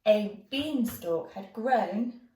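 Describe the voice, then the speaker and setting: A woman storyteller reading a rhyming children's tale in an expressive storybook style. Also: medium pitch, very slow. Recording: roomy, echoey, distant mic.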